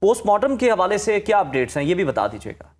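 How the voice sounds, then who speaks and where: A man's voice: high voice, very animated, quick pace, darkish tone. A man with a Pakistani accent co-hosting a news programme, asking questions and commenting live. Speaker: news show co-host.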